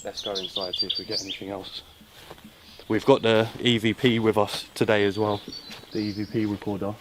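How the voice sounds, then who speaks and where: A man's voice: fairly low pitch, level tone, a little fast. A man hosting a paranormal investigation, talking casually as he explores and calls out questions. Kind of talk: casual on-location ghost-hunt commentary, calling out questions. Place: outdoors in a rural spot. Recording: noisy outdoor location sound. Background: birds and outdoor ambience.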